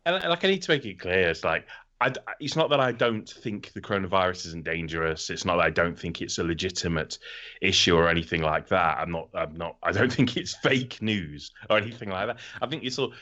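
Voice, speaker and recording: A man's voice: low-pitched, expressive, a little fast, pushed and bright, lo-fi sound. A man co-hosting a podcast in casual, comedic chat, ranting animatedly and swearing freely. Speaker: podcast co-host.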